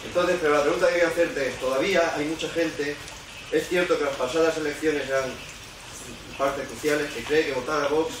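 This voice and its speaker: A man with a Peninsular Spanish accent speaks up from the audience. He rambles and repeats himself as he works toward his question. He sounds far from the microphone, swimming in heavy echo and clear background noise. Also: on the high side, steady tone, a little fast. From Barcelona, Spain. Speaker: audience member asking a question. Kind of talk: spontaneous question from the floor. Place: conference hall. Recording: distant, very echoey, noisy hall sound.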